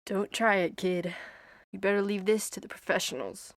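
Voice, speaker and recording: A woman voicing a gruff, tough young man who bosses his team around in a curt, commanding way. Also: medium pitch, fairly even intonation, moderate pace, no echo, voice pushed down, natural voice.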